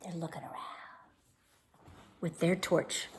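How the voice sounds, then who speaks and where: A woman narrating a children's storybook as a teacher would, pausing to explain words to listeners. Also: on the low side, level tone, in a whisper. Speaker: teacher reading aloud.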